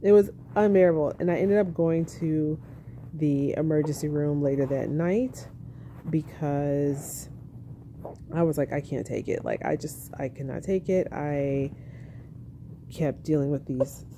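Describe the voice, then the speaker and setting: A young woman, a tired new mother, telling her birth story in a casual, monotone vlog at home, speaking softly with a baby on her chest. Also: measured pace, slight background noise. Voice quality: monotone, low-key.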